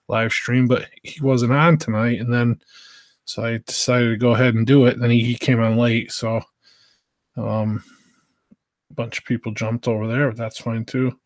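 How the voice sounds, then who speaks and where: A man from the United States hosts a live stream with casual, rambling chat. His delivery is hesitant and mid-pitched, and the sound is dry and close. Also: no echo. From United States, Southern Virginia. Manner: hesitant, meandering.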